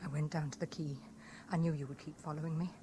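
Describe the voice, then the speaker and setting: A woman's voice: sits low, fairly even intonation, quick pace, close to an everyday voice. An actress in a 1970s radio drama playing a weary, distressed young woman, plaintive and restrained. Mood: sad, resigned. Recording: noisy vintage radio broadcast recording.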